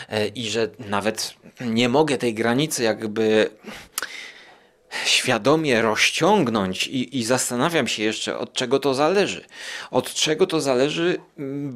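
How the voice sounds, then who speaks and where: A man hosting a podcast in a casual, digressive monologue, musing aloud with hesitant pauses and tag questions. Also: medium pitch. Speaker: podcast host.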